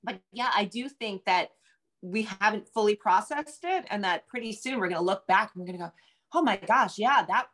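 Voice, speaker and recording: Woman author in her 40s talking casually on a video call, middle pitch. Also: animated, moderate pace, a bit bright. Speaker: guest author. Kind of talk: conversational interview answers. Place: home room with bookshelves, indoors.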